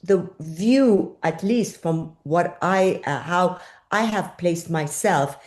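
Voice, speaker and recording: An older woman from Iran with a lowish voice speaks as an interview guest. She answers thoughtfully and often pauses on fillers while she searches for words. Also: darkish tone. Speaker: journalist guest.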